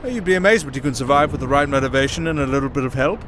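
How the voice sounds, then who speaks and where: A man's voice: medium pitch, rapid-fire, background noise, lightly acted. A man playing a roguish, wry young musician adventurer in a fantasy audio roleplay, bantering with some hesitation. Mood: wry, nervous bluffing.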